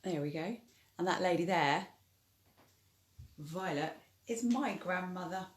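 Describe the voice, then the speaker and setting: A woman's voice: fairly low pitch, lively intonation, unhurried, echoey room. A woman co-presenting, chatting casually to viewers.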